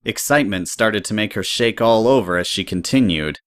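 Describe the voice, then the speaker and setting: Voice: medium pitch, moderate pace, smooth, no room sound, studio quality. A narrator reading scripted story prose in an audio drama.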